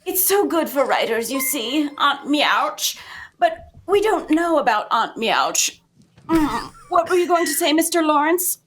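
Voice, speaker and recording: A woman's voice: high voice, big pitch swings, unhurried, fairly bright tone, slight background noise, exaggerated voice. A woman voicing a chatty, flustered, prim young lady cat in a gushing, theatrical, rambling way.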